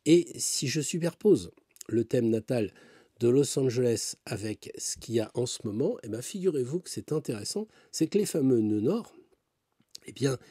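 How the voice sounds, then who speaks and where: A male astrologer talks through his reading in a loose, rambling way, full of fillers and side remarks. His voice is dark and a little husky, recorded dry with no room sound. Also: medium pitch, no echo. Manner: rambling, with many fillers and asides. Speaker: astrologer and tarot reader. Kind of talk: casual spoken commentary.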